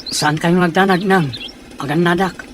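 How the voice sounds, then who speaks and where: A man's voice: high-pitched, steady tone, moderate pace, noisy background, natural voice. A man playing a protective, cautious father leading his family in a radio drama. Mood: cautious, reassuring.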